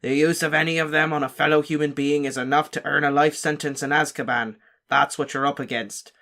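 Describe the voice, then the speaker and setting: A man voicing a gruff, fierce old male wizard teacher in an audiobook, barking stern warnings. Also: a little high, fairly even intonation, brisk, bright, forceful tone. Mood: grim, emphatic. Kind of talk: audiobook character voice.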